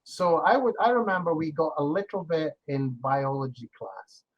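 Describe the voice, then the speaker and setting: Chatty older Scottish man, husky voice. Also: on the high side, lively intonation, moderate pace, highs cut off. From Scotland. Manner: chatty, explains with examples, laughs easily, restarts phrases. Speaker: online English tutor. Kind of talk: casual conversation lesson.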